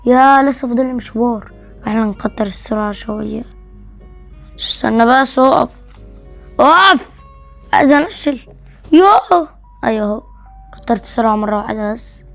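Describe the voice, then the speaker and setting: A woman's voice: a little high, normal speed, vocal fry, long pauses, faint music behind. A woman with an Egyptian Arabic accent co-hosting a game video in casual, teasing chatter with another player. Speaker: gaming video co-host.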